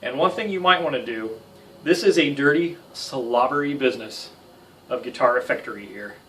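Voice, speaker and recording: A man's voice: mid-range voice, normal speed, a bit bright, far from the mic, slight background noise. An American man in his thirties giving a casual guitar tutorial with self-deprecating, joking asides. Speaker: guitar instructor. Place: small home music room.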